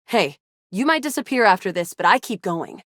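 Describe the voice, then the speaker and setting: A woman's voice: mid-range voice, lively intonation, normal speed, fairly bright tone, no echo, clean studio sound. A woman voicing a feisty, sardonic but loyal sci-fi gamer heroine in a video game, casual and slangy. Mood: wistful, determined. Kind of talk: video game character acting.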